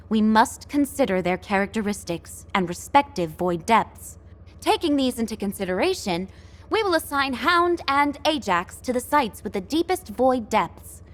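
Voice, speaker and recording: A woman's voice: on the high side, natural voice. A woman playing an earnest young military operations officer in a sci-fi video game, speaking formally and precisely. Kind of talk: video game briefing dialogue.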